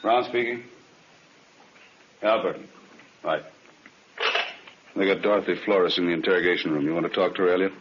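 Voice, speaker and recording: Low-voiced, brisk police chief, played by a man. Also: fairly even intonation, natural voice. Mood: businesslike. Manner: brisk, questioning. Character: businesslike male chief of detectives, in command. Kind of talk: 1950s radio police drama. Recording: muffled, faintly noisy old radio recording.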